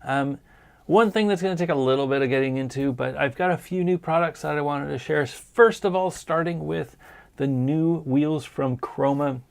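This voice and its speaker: A man hosting a casual live-stream show, hoarse from a sinus cold, talking in an earnest, encouraging way. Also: on the high side, moderate pace. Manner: earnest, encouraging, plain-spoken. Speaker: podcast host.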